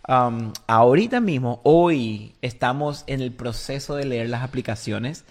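Male podcast co-host and pastor, medium pitch, South American from Argentina and Paraguay, relaxed phrasing in casual faith talk. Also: a little slow. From Argentina, raised in Paraguay.

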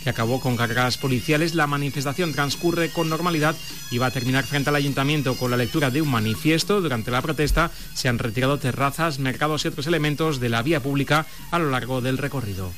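A man's voice: medium pitch, fast talker. A man with a Spanish accent from Spain delivering a radio news report.

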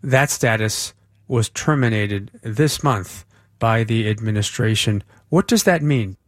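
A man's voice: fairly low pitch, measured pace, no echo, studio quality. A man hosting a news interview on the radio, asking questions and summarizing. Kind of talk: broadcast interview.

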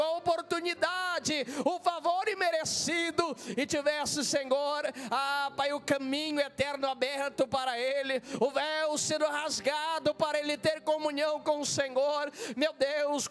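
Very high-pitched Brazilian man praying fervently. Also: a bit bright. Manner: impassioned, pleading, repetitive, with frequent interjected invocations. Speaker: preacher leading a prayer.

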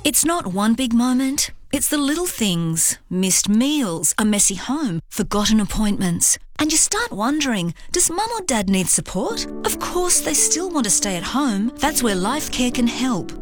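A woman voicing a radio ad in a gentle, caring way. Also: fairly high pitch. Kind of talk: radio ad voice-over.

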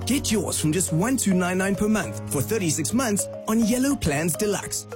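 A woman's voice: medium pitch, expressive, normal speed. Polished female ad voice over music. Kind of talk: commercial voice-over.

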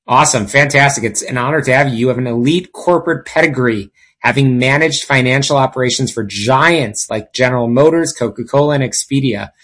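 A man's voice: medium pitch, fairly quick, fairly bright tone. A man hosting a business talk show, introducing a guest and asking questions in an upbeat, polished manner. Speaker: show host. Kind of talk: interview hosting.

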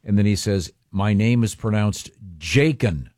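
A radio host man with a lowish voice reads out a listener letter, dropping dry little comments in between. Close, dry studio mic. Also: measured pace, a little mellow, dry recording. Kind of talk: casual talk, reading listener mail on air.

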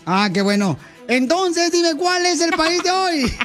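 A man's voice: extremely high pitch, sing-song, slow pace, a bit bright, soft background music. A man hosting a radio call-in prize contest, chatting playfully with slangy nicknames. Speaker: radio show host.

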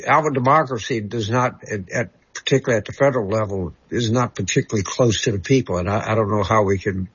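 A man working as a political commentator, answering callers on a talk show in a halting way and often repeating words as he searches for them. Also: fairly low pitch, breathy edge, lo-fi sound. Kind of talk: call-in talk show guest.

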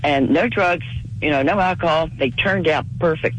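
A woman in her seventies calling in to a radio show. She is losing her voice to a cold and talks fast in a rambling way. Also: low voice, a little hiss or hum, poor audio. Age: 70s. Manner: rapid, rambling storytelling. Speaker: caller. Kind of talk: call-in radio chat.

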